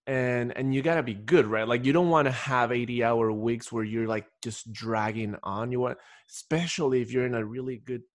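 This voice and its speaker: A man's voice: medium pitch, moderate pace, dry recording, clean studio sound. A man from Ecuador talking casually as a podcast guest, telling stories in a rambling way with repeated restarts. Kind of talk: casual conversational storytelling.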